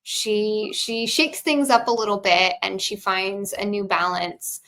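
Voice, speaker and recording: A woman's voice: steady tone, a little slow, fairly bright tone. A woman co-hosting a casual chat, thinking aloud about a film with many "you know"s and "um"s. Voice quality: high-pitched voice. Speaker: podcast co-host.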